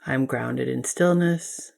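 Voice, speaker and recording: A woman leading a guided yoga nidra meditation as a teacher, giving gentle, soothing instructions. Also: very deep voice, takes it slowly, vocal fry.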